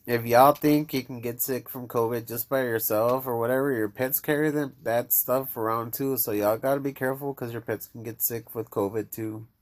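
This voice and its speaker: A man with a Mexican accent, chatting casually on his show and rambling to listeners. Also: medium pitch. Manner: rambling, addresses listeners directly. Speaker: podcast host. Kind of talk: casual chat.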